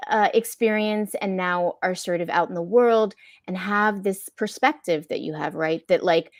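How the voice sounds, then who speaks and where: A woman's voice: on the low side, dry recording, studio quality. A middle-aged woman in a casual, reflective panel discussion over a video call, often checking in with "right?". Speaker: film impact producer on a panel. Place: room at home, video call. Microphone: wired earphones on a video call.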